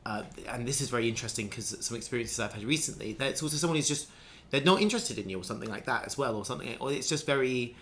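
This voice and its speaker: A man's voice: medium pitch, very fast, a bit bright, slight background noise. A man in casual conversation, musing at length with many restarts and fillers. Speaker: conversation partner.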